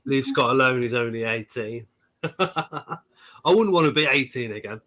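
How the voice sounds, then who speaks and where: A man's voice: medium pitch, a little slow, pushed and bright. A man, hosting a live stream in casual chat, joking and swearing lightly as he reads out viewer comments. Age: 40s.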